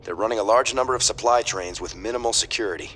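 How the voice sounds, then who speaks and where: An actor playing a businesslike crew informant giving a crisp mission briefing in a video game. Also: male voice, fairly low pitch, fast, fairly bright tone, lightly acted. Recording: faint mechanical noise.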